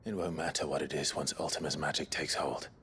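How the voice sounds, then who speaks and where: An actor playing a grave, resolute fantasy game warrior with a British accent and measured, terse delivery. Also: male voice, fairly even intonation, fairly bright tone, a little hiss or hum. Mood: grim, resolute. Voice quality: deep, low voice. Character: grave, resolute male warrior, deep and brooding. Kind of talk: cinematic fantasy game acting.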